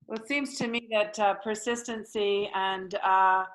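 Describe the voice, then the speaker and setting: A woman hosts a discussion over a video call. She feels her way through sentences, pausing and rephrasing as she guides the group. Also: medium pitch, fairly even intonation, a bit bright, poor audio. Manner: hesitant, halting, thinking aloud. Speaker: host moderating an online panel.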